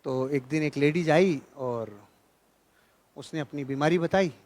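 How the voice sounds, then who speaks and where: High-pitched man giving a motivational talk. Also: takes it slowly, a little mellow. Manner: emphatic, repeats key phrases for effect; jocular, colloquial storytelling. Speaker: motivational speaker and trainer. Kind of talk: motivational seminar speech with anecdotes and humour.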